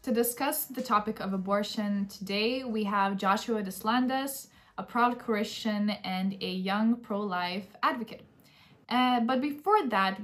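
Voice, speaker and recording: Young woman, talk show co-host, conversational presenting, medium pitch. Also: fairly bright tone. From Lithuania. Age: young adult. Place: bedroom at home, indoors.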